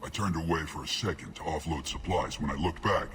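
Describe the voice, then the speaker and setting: A dutiful alien henchman in a video game. His voice is extremely deep and full of gravel, gruff but deferential. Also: brisk, a bit bright, heavily acted. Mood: sheepish, dutiful. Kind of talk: video game cutscene acting.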